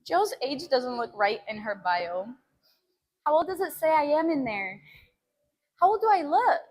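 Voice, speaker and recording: A woman chatting casually with live viewers as a fitness stream host, giggly and animated as she talks between sets. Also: high voice, measured pace. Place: gym, during a workout.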